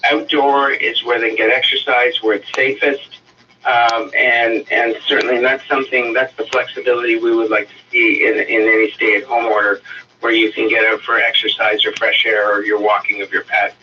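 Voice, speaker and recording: A man answering radio interview questions as a city councillor, in a halting, measured, diplomatic way. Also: mid-range voice, little pitch movement, bright, forceful tone. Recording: dull distant radio playback, faint noise.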